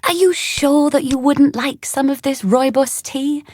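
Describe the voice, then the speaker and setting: A woman's voice: high-pitched, darkish tone, no room sound. A woman reading the part of a welcoming, motherly detective who offers tea and chats with guests. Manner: welcoming, chatty, slow. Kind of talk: scripted reading for learners.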